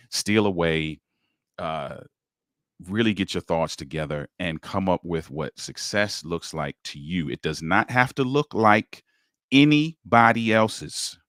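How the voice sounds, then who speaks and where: A man's voice: low voice, fairly bright tone, dry recording, studio quality. A man hosting a livestreamed podcast, upbeat and encouraging, talking with a preacher's lift as he greets commenters and his guest. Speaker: podcast host.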